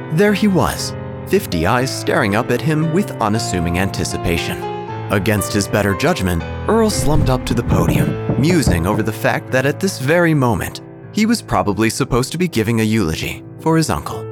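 Deadpan male narrator over music. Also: medium pitch, moderate pace. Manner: dry, deadpan delivery. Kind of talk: scripted comic narration. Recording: music bed under the voice.

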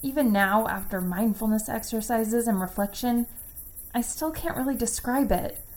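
A woman's voice: on the high side, moderate pace, natural voice, poor audio. A woman playing an earnest, self-critical corporate worker recording a log entry in an audio drama, speaking in stilted, conscientious self-help jargon. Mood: unsettled, hesitant.

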